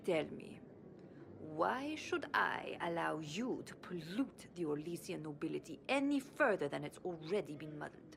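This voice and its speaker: A woman's voice: deep voice, sing-song, moderate pace, creaky, noisy background, full character voice. An actress playing a haughty, aging female noble minister in a video game, speaking imperiously and with disdain. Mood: disdainful. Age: older.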